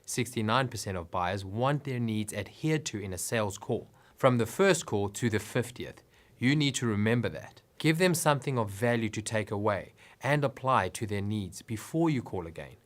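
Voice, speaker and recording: A man's voice: on the low side, animated, normal speed. A man reading scripted business advice narration in an instructive way with emphatic phrasing.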